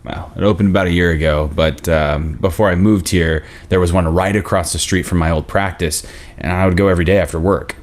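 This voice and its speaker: Deep voiced guy actor playing an awkward nice dentist on a date, conversational script read. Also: fairly even intonation, brisk, close to an everyday voice. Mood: awkward, amiable.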